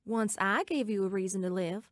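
A woman's voice: medium pitch, moderate pace, no echo, studio quality, close to an everyday voice. An actress in an audio drama playing a needy, troubled old girlfriend with a plaintive, emotional manner. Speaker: actor in a radio drama.

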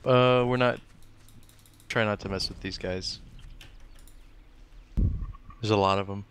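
A man's voice: fairly low pitch, fairly even intonation, slow, background noise. A man in casual co-op gaming chat, teasing his friends and swearing freely. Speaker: video game player.

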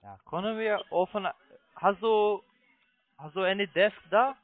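Halting foreign-accented man on a phone line. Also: high-pitched. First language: not German. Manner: halting, broken German with repeated restarts. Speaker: phone sales agent, investment scam caller. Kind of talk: sales pitch on a phone call. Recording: tinny narrow phone line.

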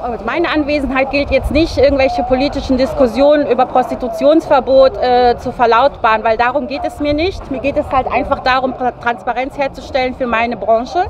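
A woman's voice: sits high, steady tone, fairly quick, hardly any pauses, crowd noise. A middle-aged woman from Germany giving a spontaneous statement into a handheld mic, with formal, hesitant phrasing. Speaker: interviewee, sex worker advocate. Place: city street outdoors. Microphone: handheld interview mic. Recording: dull outdoor sound, faint street noise.

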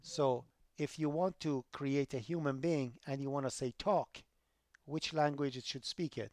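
A middle-aged man, a college programming instructor lecturing online over a headset, emphatic and rising loud when he makes a point. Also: medium pitch, steady tone, unhurried, no room sound, clean studio sound. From Iran. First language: Persian.

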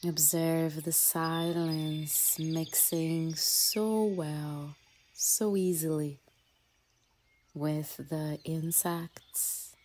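A woman leading a guided meditation in a soothing, gentle manner, with soft breathy phrase endings and long pauses between short phrases. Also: low voice, steady tone. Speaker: yoga and mindfulness teacher leading a guided meditation. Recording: faint ambient noise with birdsong. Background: birdsong and nature ambience.

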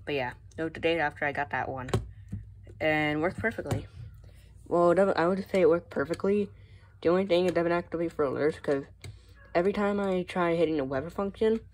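Young american woman, kinda low voice, casual show and tell vlog, phone recording w/ a bit of noise. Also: a little fast. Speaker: hobbyist vlogger showing a collection. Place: room at home. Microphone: handheld phone. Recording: noisy handheld phone recording.

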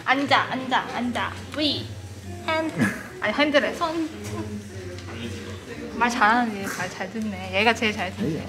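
A woman's voice: a little high, a little slow, pauses often, music in the background. Woman giving dogs short commands. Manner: gives short dog commands. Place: large indoor dog cafe.

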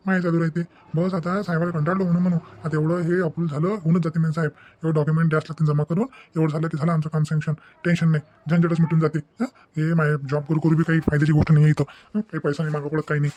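Cartoon young village husband, played by a man: high voice, extremely fast, blunt, exasperated, rural Vidarbha Marathi accent. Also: a little mellow, lightly acted. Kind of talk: Marathi cartoon family-drama dialogue.

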